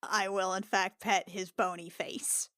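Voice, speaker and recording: A woman's voice: mid-range voice, flat delivery, measured pace, sharp tone, no echo, clean studio sound. A woman playing in a tabletop role-playing podcast, narrating casually and tentatively as she thinks aloud. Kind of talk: casual improvised game narration.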